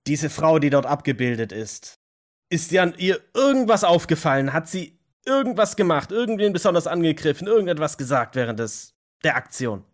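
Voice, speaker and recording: A man playing an embittered, agitated vampire in an audio drama, emotional and sometimes halting. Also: a little high, very animated. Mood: furious, pressing. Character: embittered, agitated male vampire hunting anarchs. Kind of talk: German audio drama role-play acting.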